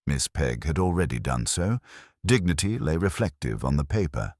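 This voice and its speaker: A man giving a measured, wry, literary narration of a genteel mystery story as a solo storyteller. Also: rumbling low, big pitch swings, a little mellow, no echo, studio quality.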